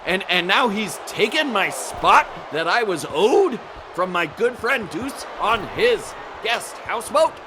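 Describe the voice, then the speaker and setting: Male color commentator, high lively voice, sarcastic jokes, noisy mic. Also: slow. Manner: sarcastic, jokey, mock-indignant. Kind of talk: comedic wrestling commentary.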